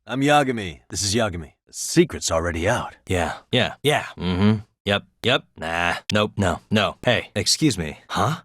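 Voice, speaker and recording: A casual, wry detective hero from a video game. His voice is low and swings widely in pitch as he talks in an easy, conversational, encouraging way, recorded very dry and close. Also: expressive, normal speed, few pauses, a bit bright, no echo. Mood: brief, low-key. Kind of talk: English game dub voice acting.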